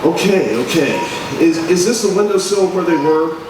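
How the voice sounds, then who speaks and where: Man as placating, doubting reporter, vintage radio drama, lightish pitch, very roomy distant sound. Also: normal speed, close to an everyday voice. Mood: skeptical, placating. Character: male newspaper reporter, mild, skeptical, placating. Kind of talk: 1940s radio acting. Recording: roomy, noisy live stage recording from afar.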